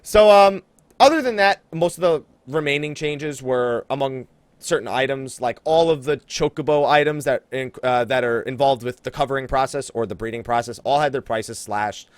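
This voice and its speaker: A man hosting a casual gaming podcast, chatting in an animated, teasing way with a co-host. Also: medium pitch, moderate pace, dry recording.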